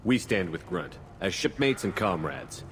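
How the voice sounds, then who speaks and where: A man's voice: low-pitched, very animated, measured pace, fairly bright tone, big-room sound, full character voice. A man playing a firm, direct human military commander in a video game cutscene. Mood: resolute. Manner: direct, assertive.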